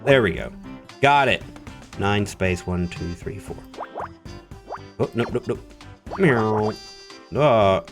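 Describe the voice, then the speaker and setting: Hoarse male game commentator, playful and animated. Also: on the low side, slow pace, long pauses, soft background music. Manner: playful, reads sound effects aloud. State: slightly hoarse. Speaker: gameplay commentator. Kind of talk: reading game dialogue aloud and commenting.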